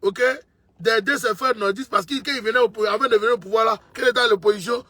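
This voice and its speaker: High-voiced, emphatic man. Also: steady tone, quick pace, sharp tone, no echo. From Côte d'Ivoire. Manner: emphatic, repeats phrases, addresses listener as brother. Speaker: political commentator. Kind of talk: impassioned political monologue.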